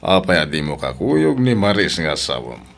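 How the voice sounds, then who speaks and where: Male actor as stern Ilocano father in audio drama: low register, very lively intonation. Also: moderate pace, gravelly, full character voice. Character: stern, disapproving father of a grown son.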